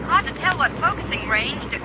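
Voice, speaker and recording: A dull, noisy vintage radio recording carries an eager young-hearted space heroine. She speaks earnestly and excitably, in the manner of a 1930s adventure serial. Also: sits high, brisk, over a noisy crowd. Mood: eager, alert.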